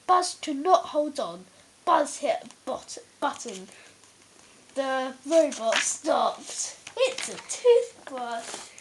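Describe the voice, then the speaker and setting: A woman reading a children's bedtime story aloud, haltingly, stumbling over words and correcting herself. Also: high-pitched, fairly bright tone, slight background noise. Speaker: storybook reader.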